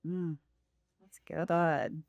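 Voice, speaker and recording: A woman's voice: deep voice, little pitch movement, drawn-out words, a little mellow, no room sound, muffled recording. A woman hosting a casual faith conversation, affirming her guests and moving the talk along. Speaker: host.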